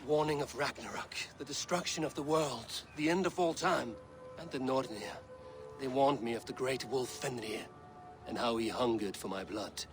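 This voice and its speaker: An actor playing a solemn, intense Norse warrior in a cinematic video game, speaking in grave, deliberate, formal phrases. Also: male voice, mid-range voice, close to an everyday voice. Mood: grave, resolute. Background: music.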